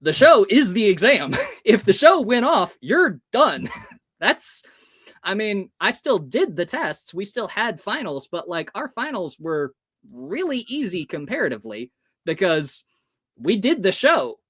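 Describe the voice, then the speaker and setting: American man, early thirties, podcast guest, expressive storyteller, very lively pitch, acted-out dialogue. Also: a little slow, slightly rough. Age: early 30s. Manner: expressive, acts out dialogue as he goes. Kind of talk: animated personal storytelling.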